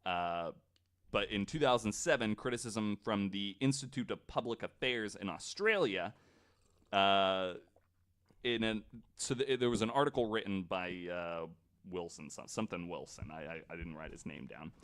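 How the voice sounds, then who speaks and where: A man with a fairly low voice hosts a podcast, explaining researched material in conversational style. His delivery is halting and informal, as if talking to friends across a table. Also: fairly bright tone, smooth. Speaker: podcast host presenting a researched story. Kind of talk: casual explanatory podcast talk.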